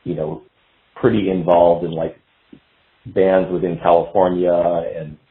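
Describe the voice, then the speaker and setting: Deep-voiced man on a dull, roomy line. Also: flat delivery, a little slow. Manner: hesitant, meandering, filler-heavy. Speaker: guest musician, guitarist. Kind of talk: casual interview reminiscing. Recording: dull lo-fi roomy call sound.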